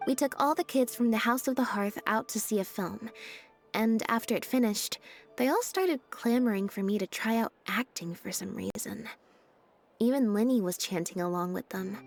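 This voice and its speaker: A woman voicing a laid-back, deadpan young stage magician's assistant in a video game, speaking in an unhurried, matter-of-fact way. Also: mid-range voice, lightly acted. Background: game background music.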